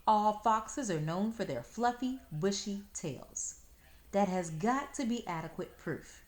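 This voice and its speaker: A woman's voice: medium pitch, animated, slow pace, darkish tone, voice pushed up. Woman voicing an exasperated, pompous storybook fox. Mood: perked up. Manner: pompous formal diction, stammering and pleading when rattled. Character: proud, exasperated male fox, pompous and increasingly desperate.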